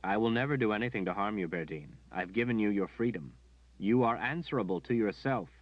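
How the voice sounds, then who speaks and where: A man voicing a calm, steadfast young male hero who speaks quietly and earnestly. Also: on the low side, fairly quick, no room sound, lightly acted. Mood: gentle. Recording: dull dry recording.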